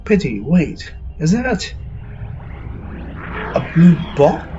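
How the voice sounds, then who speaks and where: A man's voice: on the high side, sing-song, very slow, heavily acted. A man playing a stately ship's commander with a formal, pompous manner in a fan audio drama. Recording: dull lo-fi sound, faint music.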